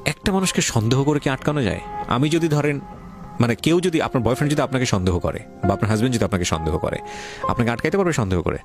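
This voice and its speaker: Male radio host, Bangladeshi accent, quick and expressive, emphatic advice-giver in interview mode, music underneath. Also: medium pitch. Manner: moralizing, emphatic, repeats points, slips in English phrases. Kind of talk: advice-giving interview on a life-story radio show.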